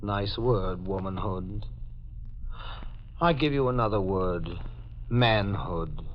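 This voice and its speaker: An actor playing a cold, domineering, sardonic brother in a 1940s radio mystery. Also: male voice, lowish voice. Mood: sardonic, challenging. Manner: sardonic, deliberate. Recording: dull, noisy 1940s radio recording.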